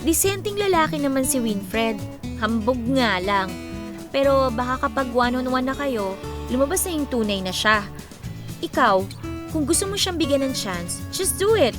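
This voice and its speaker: A confident, big-hearted young Filipina played by a woman in a radio drama, pitched on the high side. She is breezy and playful, slipping between Tagalog and English, with music clearly playing underneath. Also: animated, moderate pace. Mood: easygoing, advising.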